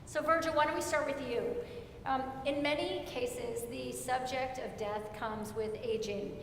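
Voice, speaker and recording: A woman's voice: unhurried, fairly bright tone. A woman in her fifties moderating a community panel conversation. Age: 50s. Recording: echoey hall, rumbling background noise.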